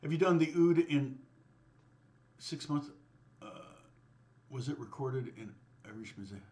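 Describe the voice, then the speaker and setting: A man's voice: mid-range voice, lively intonation, a little mellow, a little hiss or hum. A middle-aged man with a middle-range voice chats casually with his viewers on a music channel. He wanders from one thought to the next, breaks off his sentences and laughs lightly at his own remarks. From United States. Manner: rambling, self-interrupting, quick to laugh at himself. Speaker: guitar teacher and channel host chatting with live viewers. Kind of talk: casual livestream chat.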